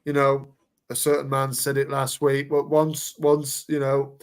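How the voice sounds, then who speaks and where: A man's voice: medium pitch, completely flat delivery, unhurried, clear, resonant tone. A man co-hosting a casual football podcast, rambling along with repeated words and fillers. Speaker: podcast co-host.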